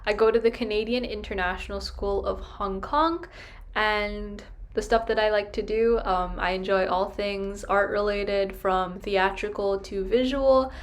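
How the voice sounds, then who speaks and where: A woman's voice: mid-range voice, measured pace. A sixteen-year-old girl giving a plain, friendly self-introduction. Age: teens. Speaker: student panelist.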